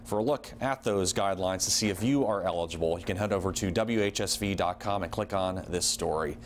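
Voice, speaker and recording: Man, American accent, field reporter, lowish voice, faint background ambience, live news delivery. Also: fairly quick. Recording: faint location ambience noise.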